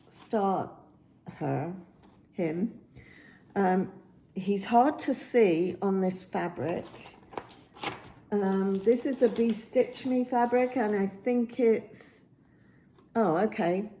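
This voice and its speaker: Slow, croaky-voiced woman chatting about stitching. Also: mid-range voice, dark tone, poor audio. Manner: rambling, many ums and restarts. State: slightly croaky voice. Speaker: cross stitch vlogger. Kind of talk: casual show-and-tell chat. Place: indoors at home by a window. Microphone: phone camera.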